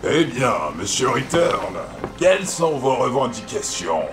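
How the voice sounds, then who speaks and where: A man voices a smug pirate captain villain in a parody audio drama. His gravelly voice rises and falls with lively swings as he stays mocking and coldly polite. Music plays behind him. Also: medium pitch, measured pace, roomy sound. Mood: scheming.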